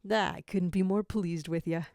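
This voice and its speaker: A proud jackal father acted by a woman in a storybook-style reading. The delivery is hearty and exclamatory, with a creaky grain and a dry, close sound. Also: fairly low pitch, fairly even intonation, measured pace, darkish tone, no echo. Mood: fond, proud.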